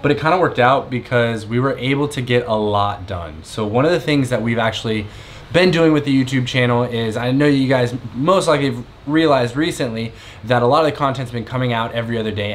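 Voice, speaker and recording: A young man explaining things in a relaxed, matter-of-fact way to his viewers in a travel vlog. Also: mid-range voice, fast, slight background noise. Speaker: travel vlogger. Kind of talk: casual vlog chat.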